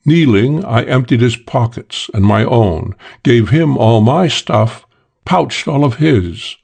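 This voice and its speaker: A man's voice: mid-range voice, lively intonation, slow, gravelly, a little mellow, breathy edge, exaggerated voice. A man voicing a suave, boastful English con man in an audiobook, talking in a cool, self-assured drawl. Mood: tense recounting. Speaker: character in an audiobook. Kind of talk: audiobook character voice.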